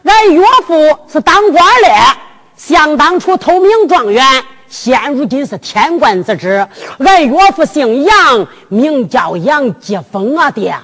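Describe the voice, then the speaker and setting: A woman storyteller playing a cheeky, blustering young fisherman with a Henan accent and a folksy, excitable manner. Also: high voice, takes it slowly, full character voice. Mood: cheeky, boastful. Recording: long reverberant hall echo.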